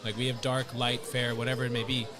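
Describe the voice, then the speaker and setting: A man's voice: medium pitch, fast talker. Male podcast host with crowd chatter behind. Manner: animated storytelling, acts out other people's lines. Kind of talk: casual chat.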